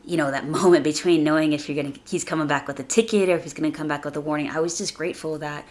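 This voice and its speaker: A woman hosting a casual motivational podcast, speaking conversationally and earnestly, slightly raspy from a chest cold. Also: low voice, steady tone, very fast. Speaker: podcast host.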